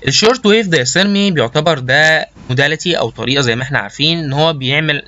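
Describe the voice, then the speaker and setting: Egyptian guy teaching over slides, kinda high sharp voice, keeps repeating words, english tech terms mixed in. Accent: Egyptian Arabic. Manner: halting, often repeating words while searching for terms. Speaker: lecturer. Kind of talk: informal slide lecture mixing Arabic with English technical terms. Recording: dull, lo-fi voice recording.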